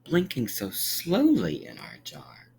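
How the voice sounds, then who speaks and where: A man reading a picture book aloud to young children like a teacher, with emphatic pauses and encouraging, friendly asides. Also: fairly low pitch, wildly swooping pitch, vocal fry, a little mellow, a little hiss or hum. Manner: encouraging, inviting listeners to count along; drawn-out, emphatic storytelling delivery with dramatic pauses.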